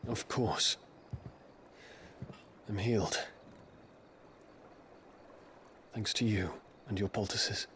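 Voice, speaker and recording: An actor playing a brooding, guilt-ridden warrior in a video game, speaking low, hushed and gravely. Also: male voice, steady tone, a little hiss or hum. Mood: subdued, earnest. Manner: grave, slow, solemn. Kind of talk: dramatic video game acting.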